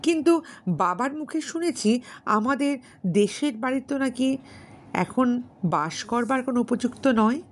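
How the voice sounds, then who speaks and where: A woman's voice: on the high side, lively intonation, measured pace, long pauses, pitched above the performer's own voice. A woman voicing a genteel young lady in an audiobook story, polite, questioning and tentative. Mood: doubtful. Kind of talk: dramatised literary audiobook dialogue.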